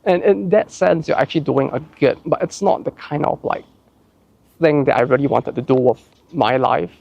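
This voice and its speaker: A man's voice: fairly high pitch, moderate pace, vocal fry, dry recording, highs cut off. A young man from Singapore with a Singaporean English accent, chatting casually as he answers viewer questions, rambling with lots of "like" and restarts. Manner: rambling, heavy use of "like", frequent restarts. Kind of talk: casual chat.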